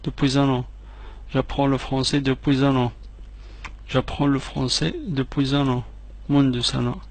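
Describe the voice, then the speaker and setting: A man teaching French phrases, repeating each one slowly and clearly, with quick asides in between. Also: medium pitch, little pitch movement, a little mellow. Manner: slow, clearly separated repetitions, quick asides in another language. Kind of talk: repeat-after-me language drill.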